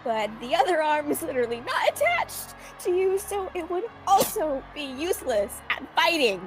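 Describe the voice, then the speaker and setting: A woman's voice: high voice, sing-song, normal speed, faint music behind, rough recording. A young woman playing an exasperated, incredulous girl adventurer in a casual-sounding radio theatre performance. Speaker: student radio theatre actor.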